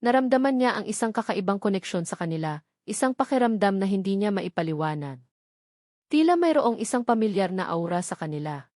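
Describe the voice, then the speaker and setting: A woman's voice: medium pitch, animated, brisk, a little mellow, no echo. Filipino woman narrating a story, dry sound. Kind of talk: scripted romance story narration.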